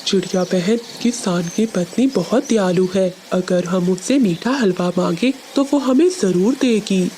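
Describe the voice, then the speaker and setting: A woman's voice: mid-range voice, a little slow, hardly any pauses, dark tone, slight background noise, lightly acted. A woman voicing a friendly, earnest male pigeon in a children's cartoon. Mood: hopeful.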